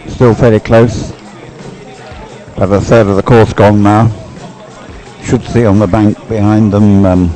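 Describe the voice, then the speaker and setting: A man's voice: fairly low pitch, dark tone, rough recording. A man giving live race commentary in a rambling, hesitant, informal way, explaining as it goes. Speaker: sports commentator.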